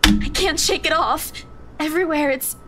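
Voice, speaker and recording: A woman's voice: moderate pace, a bit bright. A woman playing a terrified young woman in a video game, pleading and repeating herself as she breaks down. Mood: panicked pleading. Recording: rumbly background noise.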